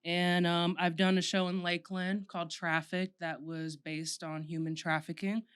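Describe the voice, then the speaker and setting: A young woman with a low, smooth, resonant voice tells stories about her acting in a relaxed podcast chat. She talks at an easy pace, with plenty of ums and likes. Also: normal speed. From Florida. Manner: relaxed, storytelling, with fillers. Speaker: podcast host and actor.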